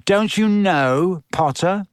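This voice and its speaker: A man voicing a malicious schoolboy bully in a storybook, quiet, drawling and goading. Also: medium pitch, lively intonation, takes it slowly, dry recording, clean studio sound. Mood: taunting.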